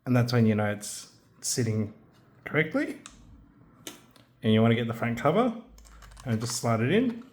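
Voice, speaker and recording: A man narrating a hands-on assembly tutorial in a casual, careful, unscripted way, pausing as he works. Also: medium pitch, very animated. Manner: unscripted, careful, halting while working with hands. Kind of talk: casual step-by-step instructional narration. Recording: background noise with clicks and handling sounds.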